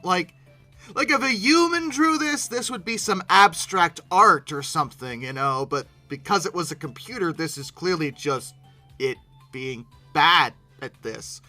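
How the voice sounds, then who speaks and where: An exasperated commentator giving an informal, unscripted reaction, animated and often stumbling over repeated words in disbelief. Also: mid-range voice. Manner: exasperated, incredulous, animated with abrupt outbursts; halting, repeating words when flustered. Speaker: video creator hosting a reaction commentary.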